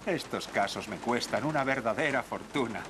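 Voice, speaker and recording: A man's voice: medium pitch, expressive, moderate pace, lightly acted. A man voicing a wry cartoon detective. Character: dashing male detective, calm and wry. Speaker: detective lead.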